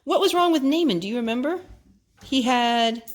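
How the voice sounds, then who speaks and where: A mother reading a rhyming children's book aloud to her child, gentle and sing-song, pausing to ask him questions. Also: high-pitched, lively intonation. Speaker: mother and storytime host.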